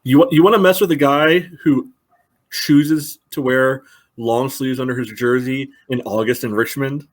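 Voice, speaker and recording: A man's voice: medium pitch, fairly even intonation, normal speed. A man co-hosting a sports podcast, analyzing soccer casually in a loose conversational way full of small asides.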